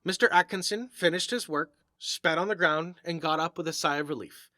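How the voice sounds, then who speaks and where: A man narrates an eerie short story in a theatrical, deliberate way. His voice sits a little high and has a bright, forceful edge. The recording is very dry, with little room sound. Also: no room sound. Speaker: story reader.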